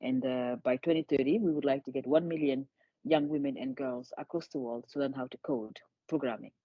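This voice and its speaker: A woman's voice: extremely low pitch, brisk, no room sound, dull audio. A woman, a charity founder speaking earnestly in an interview and often restarting her words. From Senegal. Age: 50s. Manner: earnest, repeats words as she restarts, laughs lightly. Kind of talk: conversational interview.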